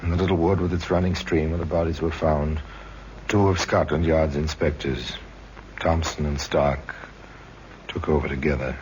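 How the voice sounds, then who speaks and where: A man narrating an old time radio crime drama in a measured, grave, dramatic way. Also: very deep voice, fairly even intonation, darkish tone, dull audio, slight background noise. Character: grave, worldly narrator of true crime tales.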